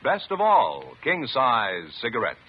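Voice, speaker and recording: A man's voice: medium pitch, wildly swooping pitch, measured pace, loud music behind the voice. An American man announcing in the formal, emphatic scripted style of 1950s radio. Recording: dull old radio recording.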